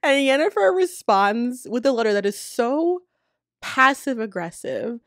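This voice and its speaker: An American woman in her thirties giving casual, opinionated commentary in an informal, slangy way with animated emphasis. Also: a little high, measured pace, no room sound, studio quality. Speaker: video essayist and host. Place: small indoor room with poster-covered walls. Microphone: close studio mic on a boom arm.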